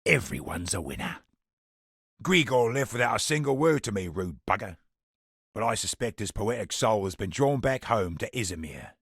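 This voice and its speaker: An actor playing a sardonic, roguish antiquities trader in a fantasy video game, with a gravelly, husky British voice and a wry, colloquial way of talking. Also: male voice, on the low side, sing-song, moderate pace, fairly bright tone, no echo, clean studio sound, full character voice. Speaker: game non-player character merchant. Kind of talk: theatrical video game character dialogue.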